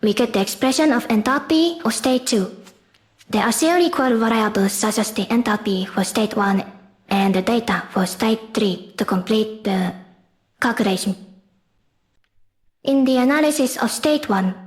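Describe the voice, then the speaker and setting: A woman's voice: on the high side, a little mellow. A woman reading a scripted educational explainer in a formal, step-by-step manner, as the presenter of a class project.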